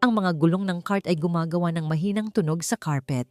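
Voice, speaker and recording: A Filipino woman reads a dramatic audio story in a fairly low voice. Her delivery is deliberate and suspenseful, with pauses for weight. Light music plays behind her. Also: darkish tone, no room sound. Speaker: audiobook narrator. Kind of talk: dramatic scripted story narration. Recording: music bed under narration. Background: background music.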